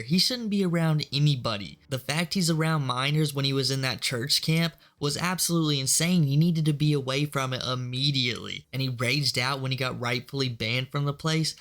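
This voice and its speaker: A man's voice: mid-range voice, fairly bright tone. A man giving informal, disapproving video commentary in a scornful tone.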